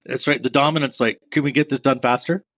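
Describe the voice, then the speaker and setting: A man's voice: medium pitch, fairly even intonation, no echo. Dry-sounding man explaining sales methods. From Canada. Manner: explains step by step with examples, self-described loud fast talker. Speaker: sales trainer guest. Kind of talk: podcast interview answers. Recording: dry, slightly dull sound.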